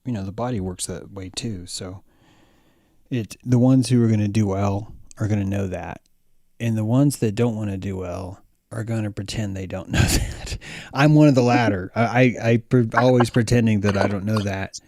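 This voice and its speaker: A man in a casual podcast chat, agreeing easily and trailing off in relaxed asides. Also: on the low side, moderate pace, no room sound, studio quality. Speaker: podcast co-host.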